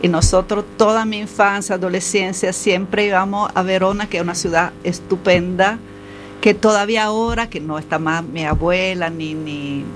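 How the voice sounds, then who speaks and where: Italian-accented woman, halting and low. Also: steady tone, slight background noise. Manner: halting, searching for words. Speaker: panel guest. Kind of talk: personal reminiscence.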